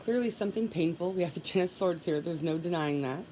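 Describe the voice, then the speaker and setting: A woman with a low voice and a congested, stuffy nose gives a solo tarot reading. She talks calmly and murmurs the same soft requests over and over as she draws cards. A steady hiss of noise sits under the recording. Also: fast. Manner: calm, softly repeats requests while drawing cards. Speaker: tarot reader.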